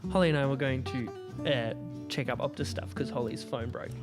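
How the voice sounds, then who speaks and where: A man's voice: mid-range voice, fairly even intonation, brisk. A man chatting casually as a podcast panellist in a laid-back way. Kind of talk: casual chat. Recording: loud guitar music under the voice. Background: plucked guitar music.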